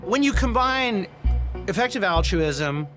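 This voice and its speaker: A man's voice: high-pitched, animated, unhurried. A man reflecting analytically in a documentary interview. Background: documentary score music.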